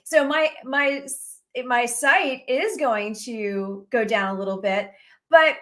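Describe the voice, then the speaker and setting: A woman's voice: fairly high pitch, slow pace. A woman in her forties hosting a faith-based talk show, speaking earnestly with many rhetorical questions. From United States. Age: 40s. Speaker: radio show host.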